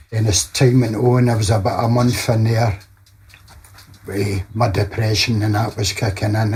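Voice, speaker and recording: A man's voice: lowish voice, darkish tone, slight background noise. A middle-aged Scottish man giving a plain-spoken personal testimony, often ending phrases with small tags like "you know". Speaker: interviewee sharing a personal story.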